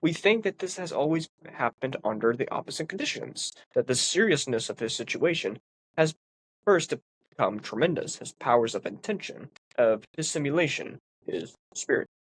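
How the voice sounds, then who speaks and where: A man with a stuffed-up, sniffly voice, at a middle pitch, reads philosophy aloud and comments on it. He talks earnestly and explains each point, leaning hard on key words. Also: sing-song, moderate pace, slightly rough, poor audio. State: congested, sniffly. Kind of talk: reading and analysis of a philosophy text.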